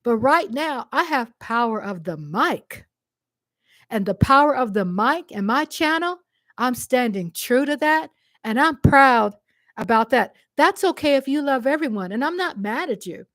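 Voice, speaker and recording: A Texan woman speaks to her viewers with passion and emphasis, and she repeats phrases to drive them home. Her voice sits a little high and she talks slowly and deliberately. The sound is dry and close, with no room echo. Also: a bit bright. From Texas. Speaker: vlogger answering a commenter. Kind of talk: impassioned direct-to-camera monologue.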